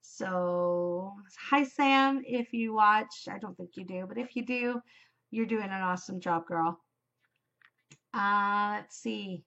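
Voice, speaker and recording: A Canadian woman hosting her knitting podcast, chatting casually and enthusiastically in a loud voice. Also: medium pitch, slow pace. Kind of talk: casual chat. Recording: slightly dull home recording.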